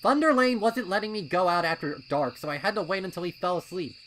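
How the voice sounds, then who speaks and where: A man's voice: sits high, quick pace, a bit bright, a little hiss or hum, voice pushed up, poor audio. A man voicing an impatient, defensive young colt in a story reading.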